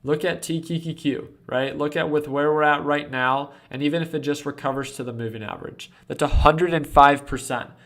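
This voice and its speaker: A man's voice: fairly high pitch, steady tone, normal speed, hardly any pauses, fairly bright tone. A man in his twenties hosting a casual trading livestream, earnestly coaching viewers from a desk at home. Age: 20s. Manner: earnest coaching, reading chat aloud. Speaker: stock trading livestream host.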